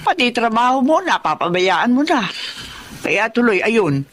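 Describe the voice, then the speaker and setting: An old nanay in a radio drama, fretting n nagging, pitch all over, a bit noisy. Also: female voice, sing-song, unhurried. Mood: scolding, worried. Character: worried, nagging, loving elderly mother of grown son. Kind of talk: Filipino radio drama acting.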